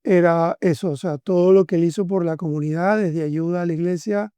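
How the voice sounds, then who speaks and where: Enthusiastic man hosting, high voice. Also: fairly even intonation, fairly quick, warm tone, smooth, dry recording. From Venezuela. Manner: enthusiastic, effusive. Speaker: podcast host. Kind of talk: conversational interview.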